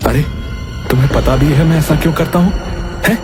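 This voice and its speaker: A man voicing a calm, earnest shopkeeper and father who soothes others and pleads when wronged. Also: fairly high pitch, a little fast, lightly acted. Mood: sorrowful. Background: background music.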